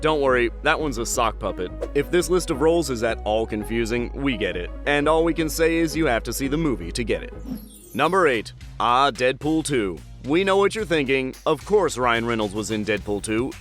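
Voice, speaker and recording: Animated man narrating a list video. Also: medium pitch, normal speed, faint music behind. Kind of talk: scripted pop-culture list narration.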